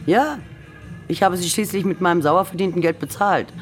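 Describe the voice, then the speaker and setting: An actress playing a brisk, practical writer's wife in a radio play, matter-of-fact and decisive, with loud music behind. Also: female voice, fairly low pitch, natural voice.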